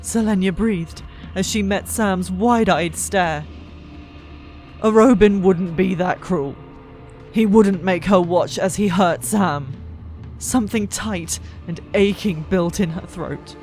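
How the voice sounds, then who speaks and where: Slow, dramatic fiction narrator. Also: mid-range voice. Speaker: audiobook narrator. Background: soft background music.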